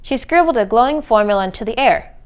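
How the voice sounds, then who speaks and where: A woman's voice: on the high side, expressive, fast, clean tone, muffled recording. A woman reading a children's storybook aloud as narrator. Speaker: read-aloud reader.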